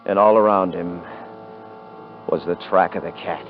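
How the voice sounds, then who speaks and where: An actor playing a cocky, rough-talking frontier hunter who also narrates, in a 1950s radio drama. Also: male voice, low-pitched, fairly even intonation, a little slow, over loud music. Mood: grim narration. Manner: rough rural grammar, boastful. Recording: muffled vintage radio recording.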